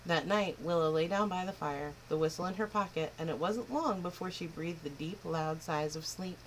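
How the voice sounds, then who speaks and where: A woman reading a picture book aloud to children in an expressive, lilting way. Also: fairly low pitch, normal speed, a little hiss or hum, rough recording. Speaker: children's storyteller. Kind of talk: storybook read-aloud.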